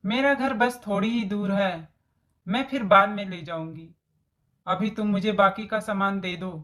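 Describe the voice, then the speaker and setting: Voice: mid-range voice, a little slow, a bit bright, natural voice. A voice actor playing a scheming, greedy middle-aged mother-in-law in a story cartoon, with exaggerated storybook delivery. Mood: fretful. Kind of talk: Hindi moral story cartoon dialogue.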